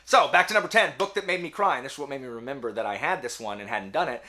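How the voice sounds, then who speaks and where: A man's voice: medium pitch, expressive, fast, pushed and bright. A man chatting casually to viewers about his reading in an informal, rambling, slangy way, as host of his own video. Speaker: book reviewer, video host.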